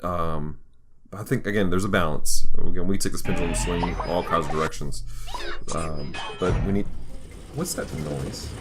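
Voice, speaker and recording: A man's voice: low-pitched, normal speed, over loud music. A man hosting a podcast in a casual, conversational style. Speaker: podcast host. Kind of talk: casual chat.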